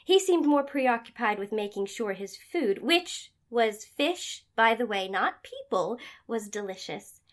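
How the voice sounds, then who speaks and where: A woman playing a tenacious, hot-tempered head chef in an audio drama, with an emphatic way of talking. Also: a little high.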